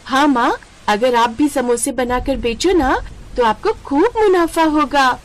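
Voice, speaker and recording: A woman's voice: high-pitched, a little slow, voice pushed up. A woman voicing an eager young child in a cartoon story. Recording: heavy noise behind the voice.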